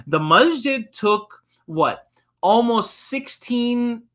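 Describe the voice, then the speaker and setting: A man's voice: very high voice, animated, drawn-out words, pushed and bright. A man co-hosting a children's story time, talking informally and often checking in with his listeners. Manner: informal, checks in with frequent tags like right and okay. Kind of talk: casual talk to children and families.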